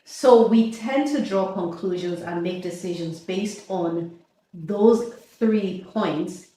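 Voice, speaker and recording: A woman's voice: fairly low pitch, slow, warm tone. A woman in her fifties, hosting a podcast, talking about faith in a reflective way with frequent laughs and restarts. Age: 50s. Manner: reflective, laughs often, restarts mid-thought. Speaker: podcast host. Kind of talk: casual faith conversation. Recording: echoey room, distant from mic, faint noise.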